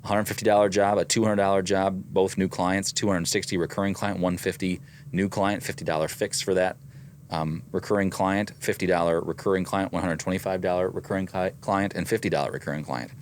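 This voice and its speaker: A very low-voiced man with a slight Midwestern accent talks through his weekly figures in a casual, methodical way. A faint noise sits behind his voice. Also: steady tone, fairly quick. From American Midwest. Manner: methodical, reads out numbers. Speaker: voice actor and co-host. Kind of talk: casual business chat.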